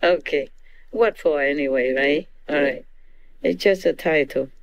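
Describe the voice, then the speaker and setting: A woman's voice: deep voice, unhurried, no echo. A woman with a Vietnamese accent giving an informal teaching to disciples. She laughs often and keeps checking in with her listeners. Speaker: spiritual teacher.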